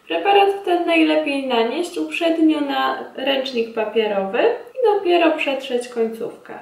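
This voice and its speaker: A woman in her thirties giving formal, measured scripted instructions as a trainer in a small indoor room. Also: sits high, darkish tone. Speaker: instructor presenting a beauty device. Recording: roomy echo, distant mic.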